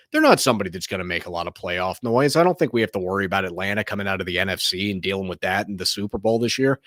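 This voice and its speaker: A man hosting a sports show, giving casual, opinionated commentary in a slightly hoarse, raspy voice, rambling and often restarting his sentences. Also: mid-range voice, no room sound, studio quality.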